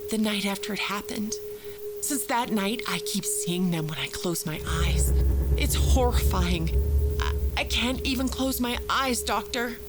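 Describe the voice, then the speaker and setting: A woman's voice: medium pitch, expressive, unhurried, loud music behind the voice, natural voice. A woman playing a frightened, agitated, sleepless therapy patient, stammering and hesitant, in an audio drama. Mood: fearful. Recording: noisy old cassette tape with hum.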